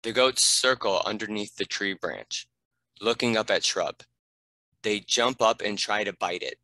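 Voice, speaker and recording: Deep, bright-voiced male narrator. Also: a little slow, dry recording. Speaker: narrator reading stage directions. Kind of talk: scripted narration.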